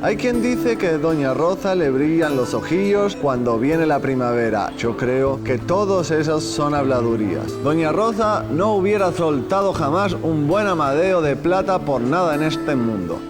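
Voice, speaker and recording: A man playing an elderly, courteous Spanish novelist who tells his life and reads a passage in a formal, measured way, in a scripted educational drama. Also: on the high side, expressive, over music. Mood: storytelling. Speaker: actor playing a historical writer.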